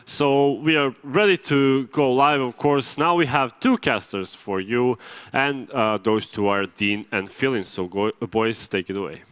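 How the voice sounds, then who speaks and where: A man's voice: medium pitch, measured pace, hardly any pauses, fairly bright tone, clear, resonant tone, no room sound. A man with a Serbian accent hosting an esports studio desk in casual chat, often restarting phrases and laughing.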